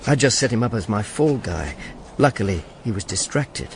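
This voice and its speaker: An actor playing a wry, world-weary Roman informer in a radio drama, dry and sardonic. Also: male voice, lowish voice, animated, moderate pace, slight background noise. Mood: dry, confiding. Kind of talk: radio drama dialogue and first-person narration.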